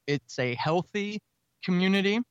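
A talkative, energetic man speaking casually as a guest in an interview about his creative work. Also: sits high, moderate pace, smooth, no echo, highs cut off. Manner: energetic, talkative, self-described extrovert. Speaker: audio drama writer and podcaster, interview guest.

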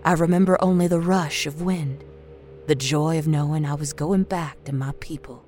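Woman doing dramatic story narration, lowish voice, soft music underneath. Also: moderate pace. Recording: faint music bed.

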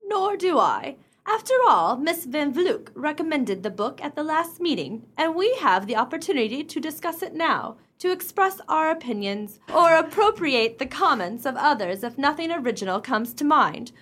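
A woman acting in a radio play as a haughty, high-minded society matron with a prim, emphatic delivery. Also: big pitch swings, normal speed, few pauses, fairly bright tone. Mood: eager, self-assured.

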